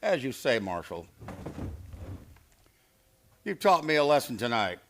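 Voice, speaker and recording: An actor playing a smug, menacing hired gunman in a Western radio drama, theatrical and mock-polite, with a gravelly voice. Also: mid-range voice, sing-song, a little slow, a bit bright, a little hiss or hum. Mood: mock gracious.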